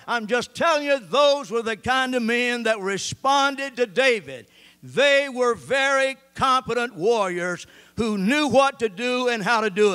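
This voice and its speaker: A man's voice: very high-pitched, pushed and bright, clean tone. An older man preaching a sermon with a handheld mic on a church stage, reading scripture and gesturing as he talks. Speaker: pastor. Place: church stage with band gear, indoors.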